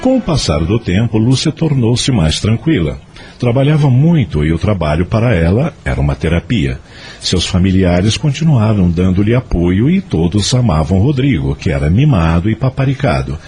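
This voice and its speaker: Brief: Brazilian man, storybook narrator, lowish voice with animated intonation, music behind. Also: moderate pace, husky.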